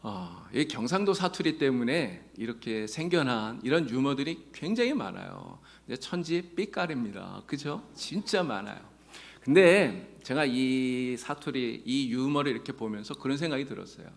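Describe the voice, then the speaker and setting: A man's voice: mid-range voice, sing-song, moderate pace, vocal fry. A male pastor preaching a sermon in a church, earnestly addressing his congregation and mixing in folksy jokes. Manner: tells folksy jokes between teaching. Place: church sanctuary.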